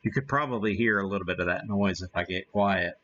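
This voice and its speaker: A man hosting a live hobby stream, giving a casual demo and thinking aloud in a halting way. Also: sits low, fairly bright tone, highs cut off, a little hiss or hum. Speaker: ham radio live stream host.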